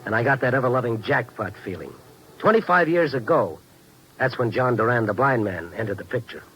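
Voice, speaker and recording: Actor as crisp hard-boiled American radio newsman. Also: medium pitch, lively intonation, a little fast, a bit bright, faint music behind, close to an everyday voice, low-quality recording. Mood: wry, intent. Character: steady, sympathetic hard-boiled male newspaper reporter. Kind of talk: 1950s radio drama dialogue and first-person narration.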